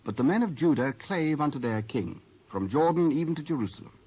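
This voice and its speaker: A British man narrating scripture from a script in a formal, measured storytelling manner. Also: a little high, animated, mellow, breathy edge. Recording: muffled old cassette.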